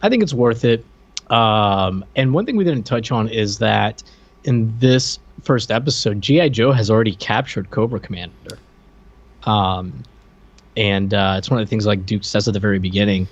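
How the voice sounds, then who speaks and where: A man with a somewhat low voice talks as a podcast co-host. He speaks in an informal, conversational style, as in a relaxed discussion with a friend. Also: normal speed, muffled recording. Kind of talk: casual discussion.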